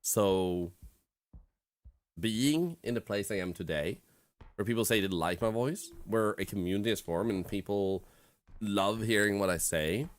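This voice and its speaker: A man's voice: on the low side, a little slow, a little hiss or hum. A young man with a Swedish accent, chatting candidly on a game stream in a reflective, rambling way full of fillers. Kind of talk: casual gaming stream chat.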